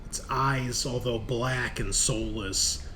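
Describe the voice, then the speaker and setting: Slow-paced man narrating a tabletop game. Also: medium pitch, fairly even intonation. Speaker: game master. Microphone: online group call. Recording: clear background noise.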